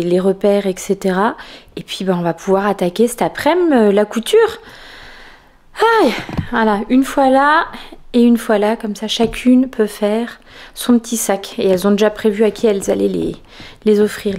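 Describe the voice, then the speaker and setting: A woman's voice: mid-range voice, darkish tone. A middle-aged woman chatting casually in a home vlog, self-mocking, with lots of fillers and restarts. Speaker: vlogger. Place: home kitchen and dining room. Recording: echoey room.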